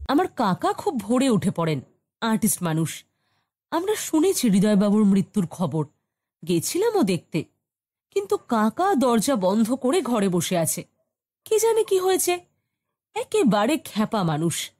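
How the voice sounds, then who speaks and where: Woman playing a mellow, lively young niece. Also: a little high, measured pace, pauses often, exaggerated voice. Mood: resigned. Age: young adult. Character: sturdy young unmarried niece, apologetic. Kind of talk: audio story acting.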